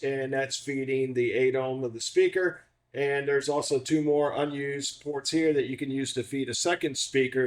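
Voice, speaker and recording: A man's voice: on the high side, a little mellow. A man giving an instructional product walkthrough in a methodical, explanatory manner, as a company demonstrator.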